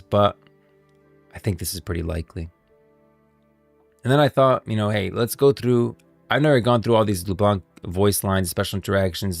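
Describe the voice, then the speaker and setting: A male streamer with a low voice full of fry talks through game lore in a casual way. The sound is dry and close, with music underneath. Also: no room sound.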